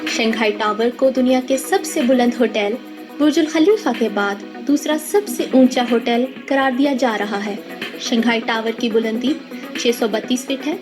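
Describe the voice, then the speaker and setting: A Pakistani woman reading a scripted news-style feature in a formal, measured way. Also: fairly high pitch, lively intonation. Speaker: voice-over student. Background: music.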